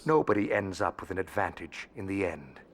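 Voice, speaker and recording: An actor playing a cautious, knowing information dealer in a video game, speaking in a measured way. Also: male voice, sits low, sing-song. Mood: guarded, knowing.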